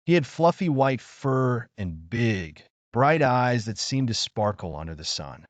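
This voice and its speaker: A man narrating a scripted children's story in an expressive, measured storytelling manner. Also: mid-range voice, no echo.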